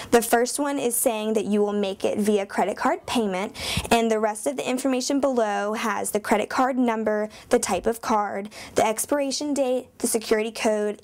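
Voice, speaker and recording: A young woman explaining things step by step as a student news anchor. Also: medium pitch. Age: young adult. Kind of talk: explanatory presenting on a student newscast.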